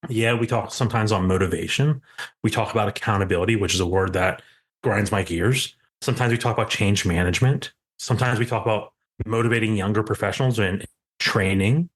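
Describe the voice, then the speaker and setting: Low-voiced man hosting a podcast. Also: fairly bright tone. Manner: rambling, repeats "right?", joking. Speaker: podcast host. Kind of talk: conversational podcast interview.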